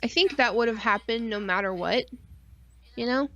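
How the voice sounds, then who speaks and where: A woman's voice: a little high, moderate pace, pushed and bright, dull audio. A woman co-hosting a podcast stream, chatting casually in a rambling, informal way with lots of filler 'like'. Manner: rambling and informal, frequent 'like' and mild swearing. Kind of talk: casual chat.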